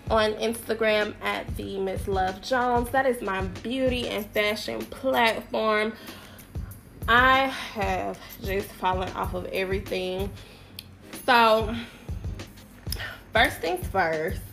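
Young woman podcast host, nasal and a bit sick, easygoing and playful, mid-pitched voice. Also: creaky, fairly bright tone. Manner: chatty, playful, self-deprecating, slangy asides. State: sick with a summer cold. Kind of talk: casual solo podcast chat.

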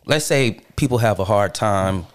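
Very deep-voiced man, earnest guest. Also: lively intonation, clean tone. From Charlotte, North Carolina, born in New York. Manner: earnest, deliberate, checks in with "right?". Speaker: guest musician. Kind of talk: casual conversation.

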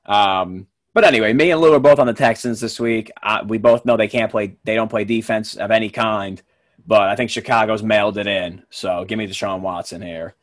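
A young man hosting a casual sports podcast, relaxed and quick to laugh, with plenty of fillers. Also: lowish voice, no room sound, studio quality. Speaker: podcast host.

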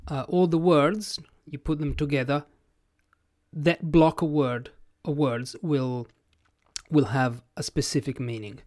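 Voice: mid-range voice, a little mellow, no echo, studio quality. An English teacher whose first language is Italian, speaking with an Italian accent in a plain-spoken, reflective podcast lesson, thinking aloud as they go.

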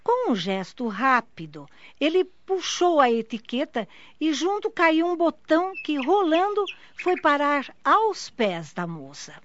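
A woman's voice: high-pitched, very animated, measured pace. A woman reading scripted narration for a radio drama. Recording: dull lo-fi, noisy background.

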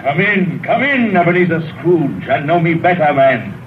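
An actor playing a jolly, commanding giant ghost, declaiming in a stately 1930s radio drama style. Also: male voice, on the high side, very animated, normal speed. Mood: hearty welcome. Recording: muffled noisy 1930s radio recording.

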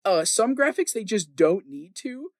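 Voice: mid-range voice, sing-song, unhurried, dry recording, studio quality. A podcast host chatting casually in an opinionated ramble, emphatic and sweary, often repeating phrases for emphasis.

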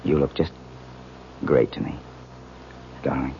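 An actor playing an attentive, affectionate newlywed husband in a 1970s radio drama, coaxing and conversational. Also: male voice, very deep voice, fairly even intonation, takes it slowly, creaky, exaggerated voice. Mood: tender, concerned. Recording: dull, noisy 1970s radio recording.

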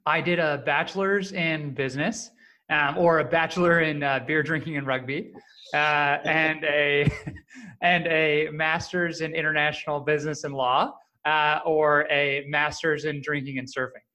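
A man in his thirties, a startup co-founder answering podcast questions in a friendly, joking, relaxed way. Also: a little high, a little slow. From Canada. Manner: friendly, jokey, relaxed storyteller. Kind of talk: casual interview answers.

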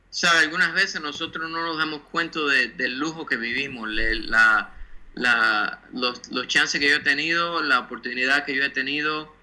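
A man's voice: fairly high pitch, bright, forceful tone. A man in his sixties from Cuba, a guest designer talking thoughtfully and dropping English words into his Spanish. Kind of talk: casual interview talk.

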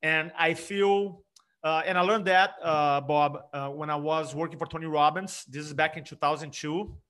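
A middle-aged man from Brazil with a Brazilian Portuguese accent, an energetic speaking coach talking emphatically in an interview. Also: on the high side, normal speed. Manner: energetic, emphatic, frequent restarts. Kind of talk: conversational interview.

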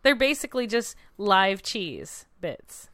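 Woman just chatting, smooth clear voice, kinda wry and playful, really dry close sound. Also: medium pitch, very animated, takes it slowly, fairly bright tone, no room sound. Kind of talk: casual chat.

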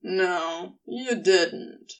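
A laid-back husband in an audio story, played by a woman, speaks in a lowered register. He drawls slowly and dryly, with a teasing, sceptical edge. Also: distant mic, poor audio. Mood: amused disbelief.

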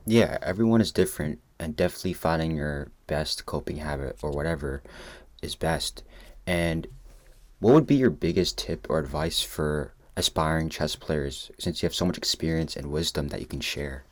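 Very deep-voiced male interviewer. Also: moderate pace, no echo. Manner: casual, affirming, sums up answers before asking. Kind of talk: interview questions.

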